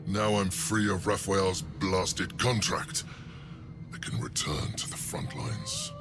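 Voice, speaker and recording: An actor playing a proud, battle-hungry infernal warrior in a video game, speaking in a bold, predatory way. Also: male voice, deep voice, wildly swooping pitch, takes it slowly, music in the background, exaggerated voice. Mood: approving, menacing. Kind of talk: video game drama acting.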